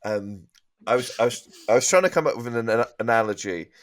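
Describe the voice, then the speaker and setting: A man's voice: mid-range voice, lively intonation, fairly quick, dry recording. A man giving comic opinions as a panel guest, earnest and emphatic, repeating phrases for stress.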